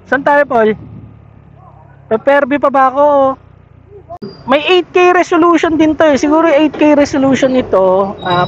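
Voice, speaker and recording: Filipino motovlogger chatting over clear vehicle noise. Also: high voice, lo-fi sound. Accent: Filipino, Manila area. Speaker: motovlogger testing a camera. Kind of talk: casual rambling vlog chat. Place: on a motorcycle outdoors at night. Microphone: intercom headset mic, sometimes built-in camera mic.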